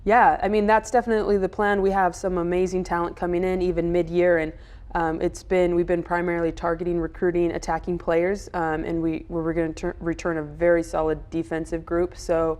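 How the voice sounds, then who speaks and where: A woman's voice: lowish voice, level tone, brisk, darkish tone. A woman soccer coach giving casual answers in an interview.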